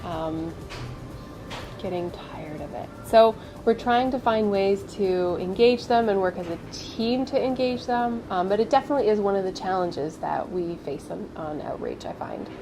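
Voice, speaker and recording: A woman's voice: mid-range voice, expressive, normal speed, noisy background. Stuffed-up woman vlogging casually. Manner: chatty, candid, many fillers. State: stuffed up with a cold. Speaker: vlog host. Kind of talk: casual vlog chat.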